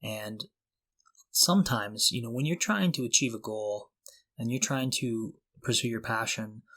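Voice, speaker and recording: A man's voice: medium pitch, a little slow, a bit bright. A middle-aged man co-hosting a podcast, talking casually in an earnest, encouraging, motivational way. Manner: earnest, encouraging, poses questions to the listener. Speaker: podcast co-host.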